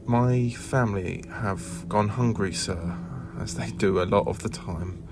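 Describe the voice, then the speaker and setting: A man's voice: on the low side, measured pace, gravelly, over music. A man acting a timid, grovelling underling in an audio drama, hesitant, stammering and deferential. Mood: nervous, pleading.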